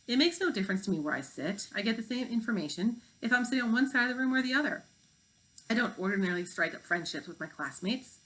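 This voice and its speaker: A woman's voice: on the high side, expressive, fast talker, fairly bright tone, voice pushed up, low-quality recording. Woman playing an aloof, brainy fifth-grade boy. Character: brilliant, aloof fifth-grade boy who avoids company.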